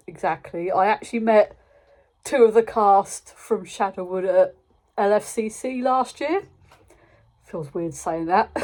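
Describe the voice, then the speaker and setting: A woman's voice: mid-range voice, a little mellow. Rambling British woman reviewer. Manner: rambling, self-interrupting, informal. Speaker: YouTube reviewer and channel host. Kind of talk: casual unscripted review chat.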